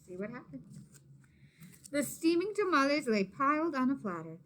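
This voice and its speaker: A woman reading a children's picture book aloud expressively, pausing to explain and put questions to young listeners. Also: high voice, sing-song, a bit bright, a little hiss or hum. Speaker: read-aloud storyteller, teacher.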